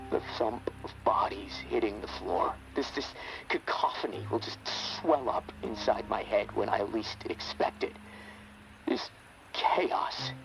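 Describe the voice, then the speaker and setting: An actor playing a guilt-haunted, weary American soldier in a video game, halting and foul-mouthed as he confides. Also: fairly low pitch, gravelly, low-quality recording. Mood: tormented. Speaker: survivor confiding in a friend over radio. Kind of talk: dramatic video game acting.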